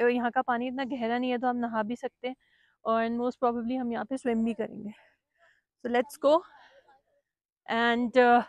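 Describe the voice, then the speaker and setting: A woman's voice: fairly high pitch, dry recording. A woman travel vlogger chatting casually outdoors by a lake. She mixes English phrases into her talk and films on a handheld phone. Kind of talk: casual travel vlog narration.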